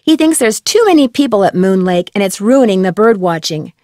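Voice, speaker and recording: A woman playing a composed young female amateur detective in a video game, explaining things in a measured way. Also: medium pitch, sing-song, darkish tone, no echo, clean studio sound, lightly acted. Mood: composed, explanatory. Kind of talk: scripted video game voice acting.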